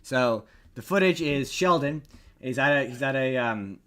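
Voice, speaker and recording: A man with a mid-pitched voice chats casually as a podcast co-host. He tells things in an animated, tongue-in-cheek boastful way and swears freely. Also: a little slow. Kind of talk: casual pop-culture podcast chat.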